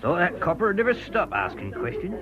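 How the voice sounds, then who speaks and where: A man's voice: high-pitched, very animated, a little fast, music in the background. An actor playing a grumbling, nosy, blunt man with a working-class English accent, colloquial and wry, in a radio drama. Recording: dull radio recording with background hubbub.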